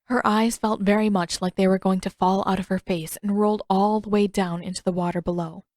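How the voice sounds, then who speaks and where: A woman's voice: mid-range voice, little pitch movement, a little fast, darkish tone, dry recording, clean studio sound. A woman reading scripted fiction aloud as an audiobook narrator.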